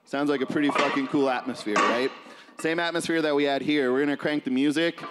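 A man's voice: on the high side, fairly quick, very noisy. Man coaching in an echoing hall. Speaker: powerlifting coach leading a seminar. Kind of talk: informal live seminar instruction. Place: large indoor gym, speaking to seated group. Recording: reverberant gym hall, distant camera.

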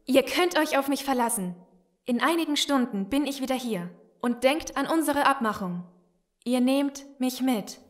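High-voiced female turncoat. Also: lively intonation, normal speed. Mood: earnest. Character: female turncoat offering secret escape deal. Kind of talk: German audio drama acting.

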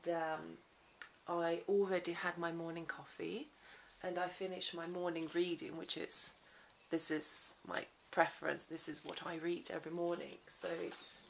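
A woman's voice: on the low side, slight background noise. A woman in her thirties vlogging at home about her day as a lawyer, chatty and reflective, with many ums and restarts, recorded on a handheld camera in a small living room. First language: Swedish. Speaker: vlogger, human rights lawyer. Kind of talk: casual day-in-the-life vlog. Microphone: phone or camera at arm's length, then camera on the floor.

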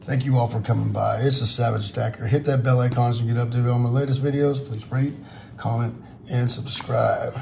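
A hoarse man, hosting a casual vlog and talking in a rambling, frustrated way with many fillers. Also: medium pitch, steady tone, normal speed, darkish tone, slight background noise. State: losing his voice, hoarse. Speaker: channel host, silver stacker. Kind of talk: casual vlog talk to viewers. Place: indoors at a table over silver bars. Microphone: camera pointed down at the table, speaker off screen.

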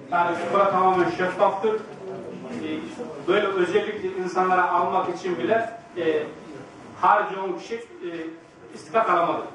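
A man giving an informal, halting reassurance to an assembly. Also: sits high. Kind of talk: informal spontaneous address. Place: large conference hall. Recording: very echoey hall, distant, crowd noise.

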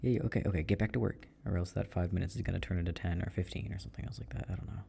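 A young man in a casual boyfriend roleplay, chatting softly while he works at his computer and often trailing off into mutters and low laughs. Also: steady tone, very fast, gravelly, husky. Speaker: audio roleplay performer. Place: at home at a computer.

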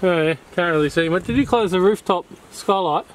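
A man's voice: sits high, brisk. A middle-aged man with an Australian accent, filming a camping trip and joking with his kids in a casual vlog. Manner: laid-back, joking banter with his kids. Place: in a car, then outdoors at a bush campsite. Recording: noisy outdoor camera audio.

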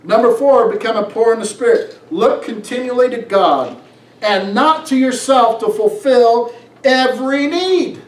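A middle-aged man preaches in a high-pitched voice at a slow, deliberate pace. He reads scripture and exhorts with emphatic phrasing. The sound is distant from the mic, in a roomy church. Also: expressive. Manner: emphatic, exhorting, drawn-out phrases with rhetorical questions. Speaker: pastor. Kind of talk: preaching a sermon, reading scripture aloud. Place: small church sanctuary, on stage. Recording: echoey room, distant from mic.

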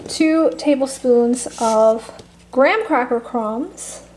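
A woman hosting a budget cooking video, calmly explaining each step from her home kitchen with a few casual fillers. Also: sits high, slow pace, a little hiss or hum, rough recording. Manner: friendly step-by-step instructions with fillers. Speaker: cooking channel host.

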